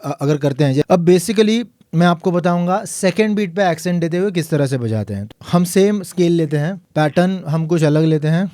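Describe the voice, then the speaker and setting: A man teaching a piano lesson, explaining calmly and counting the beats aloud. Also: fairly high pitch, normal speed, hardly any pauses. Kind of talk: tutorial lesson.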